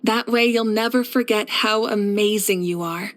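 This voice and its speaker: A woman playing a confident, warm young warrior leader in a video game, speaking in a poised, measured, earnest way. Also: mid-range voice, close to an everyday voice. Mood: encouraging. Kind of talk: scripted video game character voice lines.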